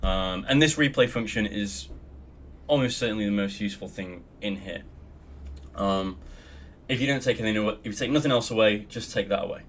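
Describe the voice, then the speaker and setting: Low-voiced Australian man, rambling gamer tutorial. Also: fairly quick, slight background noise. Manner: rambling and offhand, frequent ums, casual swearing. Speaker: guild member teaching raid logs. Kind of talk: casual screen-recorded tutorial.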